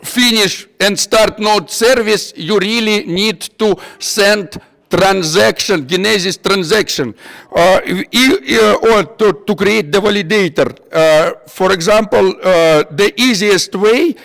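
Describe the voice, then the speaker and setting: A man with a high voice and a non-native English accent leads an informal technical workshop. He speaks slowly and haltingly, often repeating himself while he looks for the next word. The recording rings with the long reverb of a hall. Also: vocal fry, fairly bright tone. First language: not English. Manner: halting, repetitive, searching for words. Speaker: workshop instructor.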